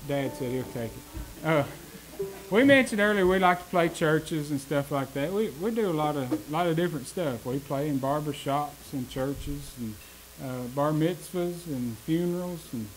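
A man with a drawl rattles off folksy jokes into a stand mic in a small wood-panelled room. His voice sits fairly high for a man. A little acoustic string music plays softly under the talk. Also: rough recording. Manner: wisecracking, laughing, folksy. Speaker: bluegrass band frontman. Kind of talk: joking stage banter between songs. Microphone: stand mic on a small stage.

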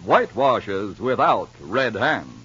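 An American man delivering an emphatic, declamatory 1950s radio commercial pitch. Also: big pitch swings, slow, pushed and bright, breathy edge. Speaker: commercial announcer. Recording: muffled vintage radio recording.

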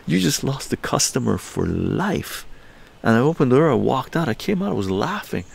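An older man from Canada, livestreaming from his outdoor patio, telling a story in an animated, laughing way with crude language. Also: moderate pace, creaky, husky. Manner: animated, laughing, swearing, acts out quotes in higher voices. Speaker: livestream host. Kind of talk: casual storytelling to a live chat.

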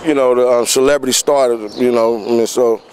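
A man's voice: medium pitch, steady tone, fairly quick, a little mellow. A young male student host works a crowd of classmates on an outdoor campus plaza. He speaks in a middling voice into a handheld mic, asking questions and summing up what he hears. Faint outdoor noise sits behind him. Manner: asks questions, sums up answers. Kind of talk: campus street interview. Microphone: handheld stick mic.

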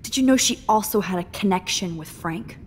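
A young woman playing a defiant, frightened teenage captive in a video game, earnest, emotional and halting. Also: medium pitch, expressive, lightly acted. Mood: anguished. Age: young adult. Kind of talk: dramatic video game acting. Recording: reverberant room with ambient noise.

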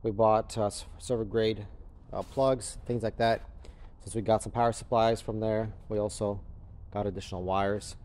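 A man's voice: fairly low pitch, little pitch movement, normal speed, darkish tone. Man giving a casual walkthrough. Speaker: crypto mining farm operator giving a walkthrough. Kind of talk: casual unscripted walkthrough narration. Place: large indoor warehouse with concrete floor. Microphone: handheld camera or phone held by the speaker.

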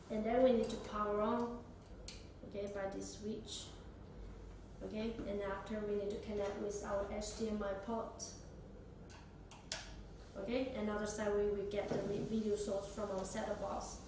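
A young woman demonstrates a product step by step, speaking in halting phrasing. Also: medium pitch, flat delivery. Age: young adult. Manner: halting non-native English phrasing. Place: small indoor office or meeting room. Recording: echoey room, distant mic, noisy background.

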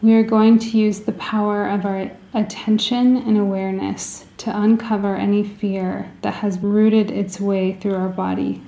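A woman leading a guided meditation in a soothing, gentle way, with deliberate phrasing and pauses, in a roomy, echoing recording. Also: medium pitch, flat delivery, creaky, muffled recording.

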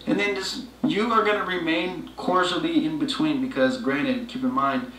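Bright-voiced man in a roomy room. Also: on the high side, steady tone, normal speed. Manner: emphatic, loose, repeats himself. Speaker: co-host. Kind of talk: casual chat.

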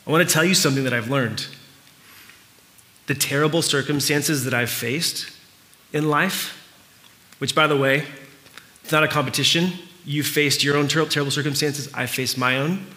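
A middle-aged man whose first language is Spanish, preaching a conversational sermon in an earnest, informal way with halting pauses and repeats. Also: medium pitch, bright, forceful tone. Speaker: pastor preaching. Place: church hall with stage, indoors, before a congregation.

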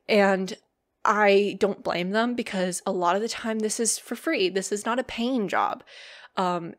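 A young woman with a slightly hoarse voice chats loosely into a home mic. She rambles along, filling gaps with ums and likes, in a mid-pitched everyday voice. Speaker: podcast host and roleplay series writer. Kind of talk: casual solo podcast chat.

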